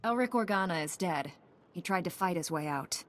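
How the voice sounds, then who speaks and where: An actress playing a confident, direct young Jedi knight in a video game. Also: female voice, lowish voice, expressive, normal speed, big-room sound, lightly acted. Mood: resolute. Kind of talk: video game cutscene dialogue.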